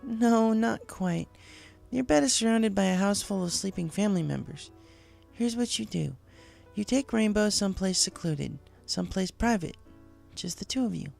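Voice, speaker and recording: A performer voicing a calm, caring female pony who advises a friend in a story. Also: mid-range voice, moderate pace, gravelly, faint music behind, voice pushed up. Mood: advising.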